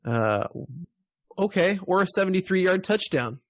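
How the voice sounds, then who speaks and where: A man's voice: high-pitched, big pitch swings, normal speed, a bit bright, dry recording, clean studio sound. An American man hosting a podcast, chatting casually about games and media. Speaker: podcast host.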